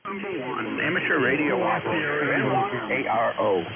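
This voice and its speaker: A man's voice: mid-range voice, lively intonation, normal speed. A man in his sixties chatting casually over amateur radio in an easygoing, matter-of-fact way. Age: 60s. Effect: radio transmission. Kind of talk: casual ham radio chat. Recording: noisy narrowband ham radio signal.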